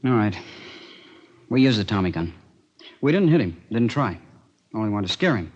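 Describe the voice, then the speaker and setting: An actor playing a defiant, evasive gambler under police questioning in an old radio crime drama, with curt, slangy, tough talk. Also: male voice, fairly low pitch, sing-song, moderate pace, husky. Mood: defiant, agitated. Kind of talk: 1940s-50s radio crime drama acting. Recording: dull lo-fi old radio recording.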